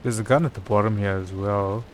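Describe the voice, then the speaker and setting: A man with a fairly low voice streams a game, talking casually through his thoughts as he plays. His commentary is informal and half to himself. There is clear background noise with clicks behind him. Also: fairly even intonation, brisk. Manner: casual, muttering thoughts aloud. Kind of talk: live gameplay commentary.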